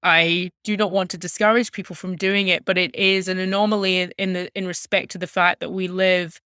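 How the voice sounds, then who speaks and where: Female interview guest, thirties, Scottish accent, earnest and articulate storyteller, crisp tone, close dry sound. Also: lowish voice, flat delivery, fairly quick, bright, forceful tone, dry recording. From Glasgow, Scotland. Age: 30s. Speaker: interview guest, music journalist. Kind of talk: reflective personal storytelling in an interview.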